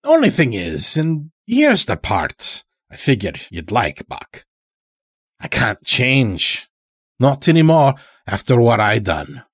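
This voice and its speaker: Male narrator as old werewolf elder: folksy, sly, tired, husky, swooping delivery. Horror audio story. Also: medium pitch, wildly swooping pitch, slow, no echo, clean studio sound, full character voice. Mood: weary, coaxing. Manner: folksy, rustic, ungrammatical drawl.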